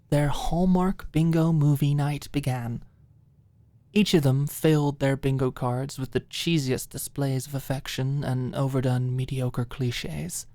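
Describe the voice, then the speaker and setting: A woman's voice: a bass voice, dark tone, no room sound. A woman narrating scripted fiction as an ASMR audiobook storyteller.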